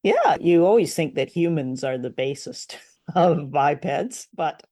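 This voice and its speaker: A female podcast co-host chatting casually. Her delivery is wry and gently teasing, with an unforced conversational style. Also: sits low, expressive, measured pace. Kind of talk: casual chat.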